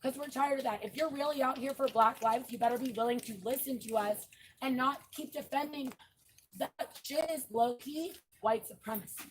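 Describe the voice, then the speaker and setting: A woman speaking forcefully and indignantly to fellow marchers outdoors at night, blunt and profane. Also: sits high, normal speed, slight background noise, poor audio. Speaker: protest marcher. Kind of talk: impassioned street speech. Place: outdoors at a night street protest.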